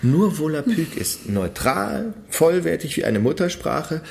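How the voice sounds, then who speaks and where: A man's voice: on the high side, very animated, unhurried, gravelly, a little hiss or hum, full character voice. An actor playing an eager, persistent academic in a radio play, making his case with earnest insistence. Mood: insistent, persuasive. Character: eager, persistent male academic petitioning for funding.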